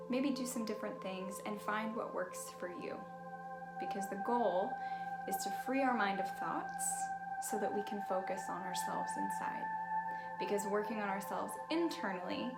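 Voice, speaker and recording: A woman leading a guided meditation, giving gentle, unhurried instructions in a soothing manner. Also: medium pitch. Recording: music behind the voice. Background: music.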